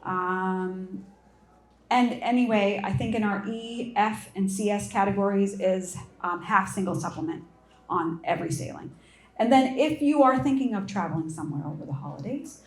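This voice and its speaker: A woman's voice: medium pitch, expressive, normal speed. A woman cruise representative giving an upbeat, friendly presentation at an event. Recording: echoey room.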